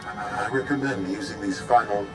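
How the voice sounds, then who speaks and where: A man's voice: on the low side, lightly acted. Man playing a haughty, cold artificial intelligence. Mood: stern contempt. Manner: formal, measured, haughty. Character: cold, condescending male artificial intelligence caretaker of a world. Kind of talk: video game cutscene acting. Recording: music behind the voice.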